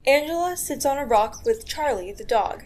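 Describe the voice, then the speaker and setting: A woman's voice: high voice, lively intonation, normal speed, darkish tone. A woman reading scripted narration and scene titles for a radio play. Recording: noisy recording with clicks.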